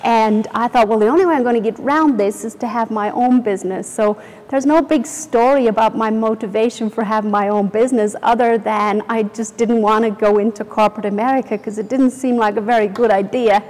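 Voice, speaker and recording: A woman's voice: a little high, fairly even intonation, brisk, a little mellow. Chatty Irish woman in a roomy space. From Ireland or UK. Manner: chatty, self-deprecating, many restarts. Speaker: company founder, guest. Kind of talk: casual interview storytelling. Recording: reverberant room.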